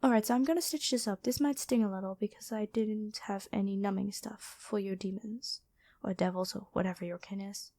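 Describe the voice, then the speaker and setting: A woman playing a gentle, dutiful angel medic in an ASMR roleplay, speaking soothingly and caringly to one listener. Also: medium pitch, fairly even intonation, fairly quick, close to an everyday voice. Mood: gentle, matter-of-fact.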